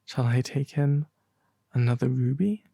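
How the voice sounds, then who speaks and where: Soft, breathy little swallow voiced by a man. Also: medium pitch, a little slow, dry recording. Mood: tender, reluctant. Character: kindly, earnest little male swallow, wistful traveller.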